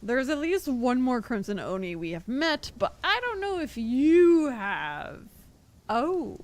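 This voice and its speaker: A woman streaming a game, chatting casually with her viewers in a rambling, expressive way. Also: sits high, slow pace.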